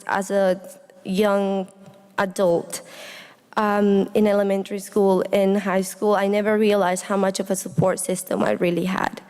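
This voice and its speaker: A woman's voice: medium pitch, darkish tone, smooth. A young woman from Honduras gives a reflective, earnest personal speech as a student speaker, addressing an audience. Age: young adult. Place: indoor hall, speaking to an audience. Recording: echoey hall with long reverb.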